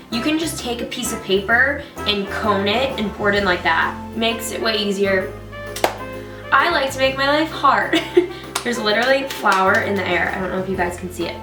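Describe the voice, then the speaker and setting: A young woman with a stuffed-up, nasal voice, joking her way through a casual kitchen tutorial. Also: on the high side. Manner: bubbly and jokey. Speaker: vlogger. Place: home kitchen, loud stove. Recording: roomy, mic at a distance, music behind.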